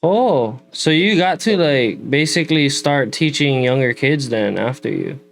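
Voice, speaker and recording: A man's voice: mid-range voice, lively intonation, unhurried, vocal fry, soft background music. A man hosting a casual interview, easygoing and full of "like" fillers. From Hawaii. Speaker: host.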